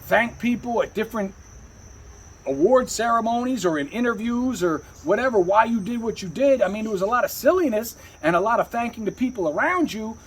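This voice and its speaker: A man with a husky voice telling stories casually as a rapper being interviewed, rambling and slangy, often saying "you know.". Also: high voice, lively intonation, fairly quick, low-quality recording.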